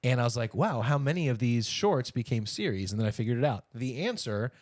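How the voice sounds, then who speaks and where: A man's voice: mid-range voice, expressive, a little fast, darkish tone, dry recording, clean studio sound. A man in his thirties co-hosting a podcast in a casual, playful chat, with a jokey, bantering way of talking. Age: 30s. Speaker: podcast co-host.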